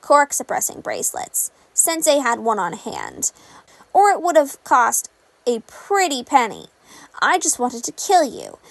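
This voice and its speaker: A woman's voice: high voice, creaky, no room sound, exaggerated voice, rough recording. Woman voicing a sneering, impatient young male villain. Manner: curt, dismissive, drawling.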